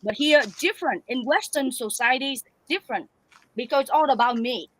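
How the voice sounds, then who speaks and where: A Vietnamese-born woman speaking English with a Vietnamese accent, telling animated stories as a guest in broken English. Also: high voice, a little fast, dry recording, rough recording. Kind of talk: casual storytelling chat.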